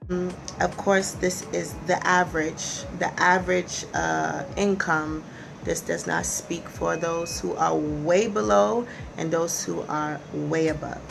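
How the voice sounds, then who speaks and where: A woman's voice: lowish voice, fairly even intonation, slow, gravelly, music in the background. An American-born woman presenting for a real estate company, talking casually to camera with many fillers and restarts while working from notes. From United States. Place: in Nigeria.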